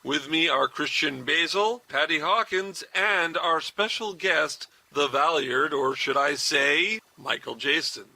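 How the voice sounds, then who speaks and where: A man's voice: fairly high pitch, measured pace, vocal fry, pushed and bright, dry recording. An American man hosting a radio show, chatting like a fan with his guest in an interview. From United States. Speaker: radio host.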